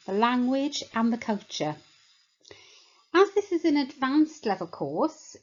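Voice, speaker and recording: A woman teacher giving a measured talk that explains a course to prospective students. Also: a little high, darkish tone, muffled recording. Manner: measured, explanatory, lists points slowly.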